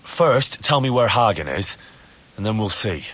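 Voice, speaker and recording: Husky, scolding male soldier. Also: lowish voice, very animated, measured pace, fairly bright tone, exaggerated voice. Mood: stern, probing. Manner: reproachful, sardonic. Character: stern male medieval soldier interrogating a prisoner, scolding. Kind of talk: video game cutscene acting.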